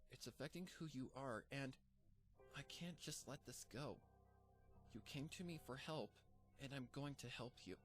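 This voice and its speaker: A man voicing a gentle, caring female unicorn pony who talks in a soft, pleading, reassuring way. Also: medium pitch, normal speed, soft background music, pitched above the performer's own voice, natural voice.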